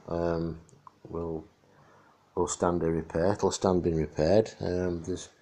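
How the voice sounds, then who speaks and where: A man's voice: deep voice, warm tone. A middle-aged man gives a casual, hands-on restoration commentary in a chatty, rambling, dryly jokey way. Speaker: radio restorer presenting a demonstration.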